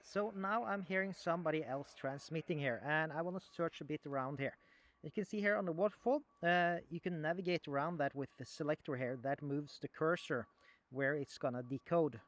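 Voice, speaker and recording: Higher-pitched man giving a casual demo. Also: brisk, fairly bright tone, no room sound. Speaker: amateur radio hobbyist demonstrating equipment. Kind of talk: casual unscripted video demonstration.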